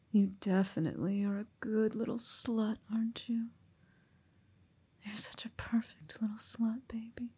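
A woman whispering slowly and teasingly into a close mic, in an affectionate, intimate roleplay. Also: medium pitch, darkish tone, slightly rough. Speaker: audio roleplay performer.

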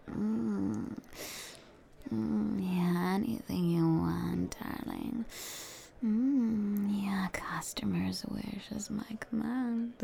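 A woman whispers very slowly and close, in an ASMR-style roleplay. Her voice has a heavy gravelly fry and a dark, mellow tone. She sounds soothing and coaxing, with soft murmured hums. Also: mid-range voice. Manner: coaxing, soothing, playful, with pet names.

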